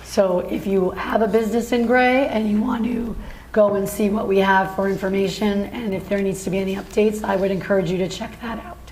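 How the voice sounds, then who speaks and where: A woman's voice: mid-range voice, steady tone, fairly quick, a little mellow, rough recording. A woman serving as interim town manager gives brief announcements at a council meeting. Her mid-range voice is worn and fading as she loses it, and faint room noise sits underneath. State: losing her voice.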